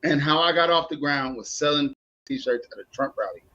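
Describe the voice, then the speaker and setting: A man steering a casual political panel, animated and playful, his voice hoarse from overuse. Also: on the high side, a little fast. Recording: dull lo-fi call audio.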